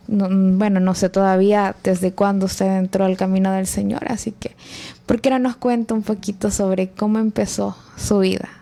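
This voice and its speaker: Una joven adulta con acento salvadoreño presenta un programa cristiano y hace preguntas con cortesía, entre pausas y muletillas. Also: female voice, medium pitch, dark tone. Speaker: host.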